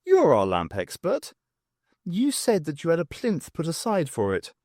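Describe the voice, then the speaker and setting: Male reader as stern heroine, brisk, exasperated, sarcastic, animated intonation, audiobook. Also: fairly high pitch, no room sound, studio quality, voice pushed up, full character voice. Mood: probing. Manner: commanding, dryly exasperated. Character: Bernice, brisk, stern, sarcastic woman adventurer.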